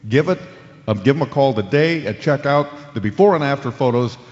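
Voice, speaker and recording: A man's voice: medium pitch, expressive, a little fast, darkish tone, natural voice. An actor playing an unflappable male sports talk radio host, moving between interview banter and polished ad-read patter. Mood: salesman-like, smooth. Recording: dull audio in a reverberant hall.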